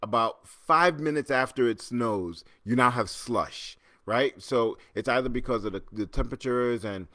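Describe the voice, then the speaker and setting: A man's voice: mid-range voice, normal speed, hardly any pauses, pushed and bright, no echo, studio quality. A middle-aged man from Trinidad hosting a casual livestream chat from his home, rambling with lots of restarts and reading viewer comments as they come in. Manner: rambling, chatty, many restarts and fillers, reads comments aloud. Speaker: host. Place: home in New York City.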